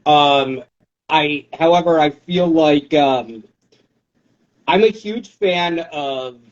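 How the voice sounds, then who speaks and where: A man's voice: fairly high pitch, takes it slowly, lo-fi sound. A man in his forties talking casually in an interview, rambling in a wry, self-deprecating way. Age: 40s. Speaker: poetry show organizer.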